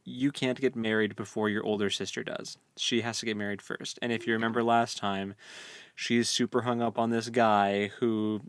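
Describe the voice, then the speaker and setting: A mid-pitched voice, recorded close and dry, co-hosts a book podcast. The retelling is casual and wry, in everyday informal language. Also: clean tone, dry recording. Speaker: podcast co-host.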